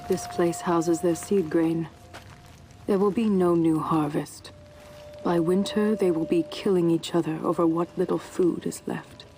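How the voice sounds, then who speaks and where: A woman voicing a ruthless warlord, her voice dark and mellow, delivering cruel plans in a cold, matter-of-fact manner. Faint noise sits behind her in the game cutscene. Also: lowish voice, steady tone, a little slow, lightly acted, low-quality recording. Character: ruthless female warlord planning famine. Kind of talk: video game cutscene acting.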